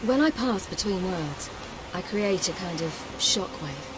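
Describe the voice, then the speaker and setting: An actress playing a calm, free-spirited young woman in a video game, composed and measured. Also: lowish voice. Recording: game audio with clear ambient noise.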